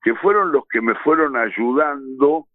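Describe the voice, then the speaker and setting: A man's voice: medium pitch, measured pace, no room sound. An older Argentine man reminiscing conversationally as an interviewee. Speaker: radio veteran, interviewee. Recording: tinny phone-like line, dry.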